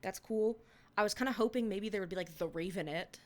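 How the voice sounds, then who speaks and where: A young woman reading her own old fan fiction aloud in a hushed, half-whispered voice, then chatting about it with giggly, self-mocking commentary. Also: medium pitch, brisk. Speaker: podcast reader.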